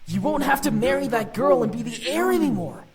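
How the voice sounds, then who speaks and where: An actor voicing an excitable, childish young demon prince in a visual novel, whiny and eager. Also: male voice, very high voice, a little fast, far from the mic, a crowd in the background, heavily acted. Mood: eager pleading. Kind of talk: visual novel character acting.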